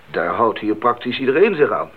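A man's voice: fairly low pitch, sing-song, moderate pace. A man playing a courteous local receiving visitors in a radio play, with a lilting delivery. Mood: politely discouraging. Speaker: radio drama actor. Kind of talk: radio drama acting. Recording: tinny, phone-like radio drama sound.

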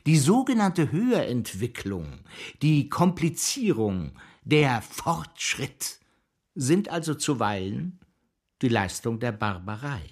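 Pompous, husky scholar with swooping delivery. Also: male voice, medium pitch, big pitch swings, takes it slowly, full character voice. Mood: haughty lecturing. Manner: pompous, rhetorical, declamatory lecturing. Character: mocking, provocative arch-conservative private scholar, a cultural philosopher. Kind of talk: German radio drama acting.

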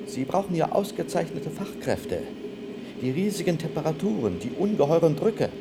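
A man's voice: a little high, very animated, moderate pace. A man acting in a German radio play as a proud, indignant inventor-engineer with an emphatic, accusatory delivery. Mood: stern, defiant. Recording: noisy archival radio drama recording.

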